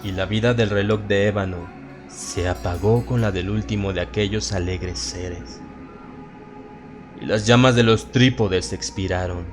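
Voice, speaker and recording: A man with a low voice narrates a scripted horror tale. His delivery is solemn and theatrical, with a Latin American Spanish accent. Music plays behind him. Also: fairly even intonation, measured pace. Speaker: audiobook narrator. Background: background music.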